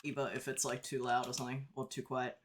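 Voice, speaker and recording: A woman's voice: rumbling low, flat delivery, quick pace. A woman streamer chatting casually and giggling while playing a video game. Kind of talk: casual let's-play chat.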